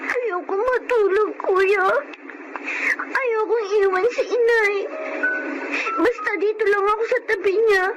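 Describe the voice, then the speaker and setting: A little girl in a radio drama. Her voice is very high and childlike, pleading and stubborn with grief. Music plays under her. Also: takes it slowly, pauses often, poor audio. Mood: tearful pleading. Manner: plaintive, pleading. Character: grieving little girl, stubborn, clinging to her dead mother. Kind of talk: Filipino radio drama acting.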